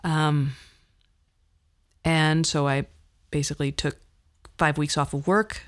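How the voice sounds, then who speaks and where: A woman's voice: low voice, steady tone, slow pace. A woman in her fifties hosting a podcast, telling personal stories in a conversational, wry, self-deprecating way. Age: 50s. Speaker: podcast host. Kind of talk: personal storytelling monologue.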